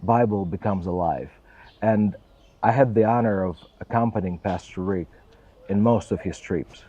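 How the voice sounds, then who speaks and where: Russian-accented man, earnest and low. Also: normal speed, mellow, husky, muffled recording. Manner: earnest, self-deprecating, careful English. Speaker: church assistant and pastor. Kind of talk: interview answers.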